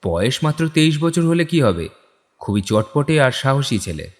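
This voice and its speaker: A man reads scripted detective-story narration. His medium-pitched voice carries a long hall-like reverb. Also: very animated, a little slow.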